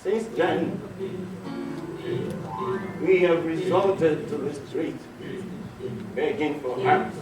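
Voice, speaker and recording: Distant echoey stage recording with music, a man acting a blind beggar widow, slow, humble, pleading, theatrical. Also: on the high side, voice pushed up. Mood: sorrowful. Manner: slow, pleading delivery with blessings. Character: blind, grateful widowed beggar mother, war survivor. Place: hotel hall stage.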